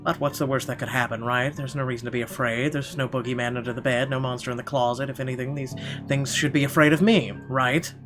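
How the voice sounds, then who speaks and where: A man with a medium-pitched voice reads first-person story narration aloud. He delivers it theatrically and occasionally stumbles on a word, with light music underneath. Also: steady tone, quick pace. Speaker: gaming video host. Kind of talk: dramatic reading aloud of visual novel narration. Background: game music.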